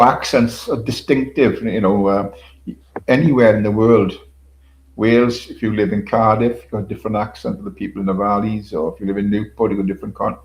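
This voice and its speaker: Gravelly older man rambling on a livestream. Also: fairly low pitch, low-quality recording. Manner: rambling, repeats himself, swears casually, chuckles. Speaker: livestream host. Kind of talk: casual solo livestream chat.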